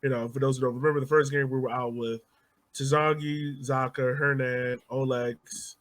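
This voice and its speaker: A man's voice: mid-range voice, little pitch movement, normal speed, fairly bright tone. A man hosting a soccer podcast, leading a casual discussion of the game. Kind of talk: casual sports chat.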